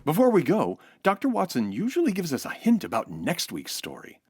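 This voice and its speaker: A man playing a smooth-talking, presentational old-time radio announcer in a comedy show. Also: on the high side, huge pitch swings, a little fast, very noisy.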